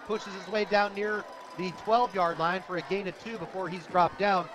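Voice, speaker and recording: An American man calling live football play-by-play on the radio with energetic, clipped calls. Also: high voice, moderate pace. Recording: live outdoor broadcast with crowd noise. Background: stadium crowd.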